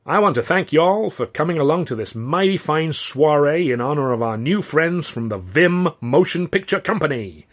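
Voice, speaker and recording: A man's voice: on the high side, animated, moderate pace, few pauses, pitched above the performer's own voice. A man voicing a boastful, beaming Southern American mayor giving a grandiloquent, rabble-rousing speech in an audiobook. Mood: proud, rousing.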